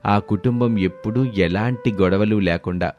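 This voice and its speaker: A man with a fairly low voice narrates a scripted story. He is the storyteller of a moral tale. Light music plays underneath. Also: normal speed, darkish tone, muffled recording.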